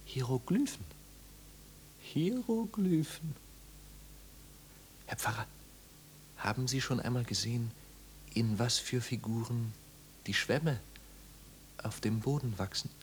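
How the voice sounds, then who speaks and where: A tormented young man, slow and halting. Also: mid-range voice, lively intonation. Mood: feverish, agitated. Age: young adult. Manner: halting, feverish, often breaking into whispers. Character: tormented young man sinking into madness, gentle but desperate. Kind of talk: 1950s German radio drama acting. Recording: noisy, humming 1950s radio recording.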